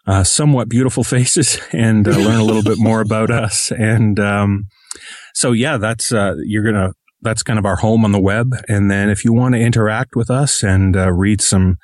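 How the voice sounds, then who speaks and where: A man's voice: lowish voice, no echo, studio quality. A man speaking casually as an interview guest, with a modest, self-deprecating manner. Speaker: podcast host interviewed as guest.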